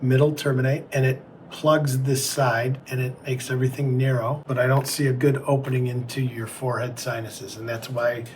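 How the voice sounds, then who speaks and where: A man working as an ear, nose and throat doctor, calmly explaining an x-ray to a patient. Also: medium pitch, normal speed, hardly any pauses, background noise.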